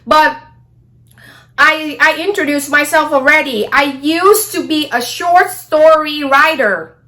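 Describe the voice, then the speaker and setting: A woman's voice: high voice, takes it slowly, sharp tone. A woman, an English speaker, talking casually about astrology in a rambling way and often checking in with her listeners. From Vietnam. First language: Vietnamese. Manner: rambling, frequent "okay?" and "blah, blah" asides. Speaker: astrology vlogger.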